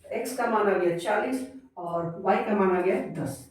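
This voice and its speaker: A man's voice: sits high, unhurried. Man teaching maths in an echoey room. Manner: asks the class questions and answers them himself. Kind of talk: explaining a maths problem step by step. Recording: echoey room, voice far from the mic.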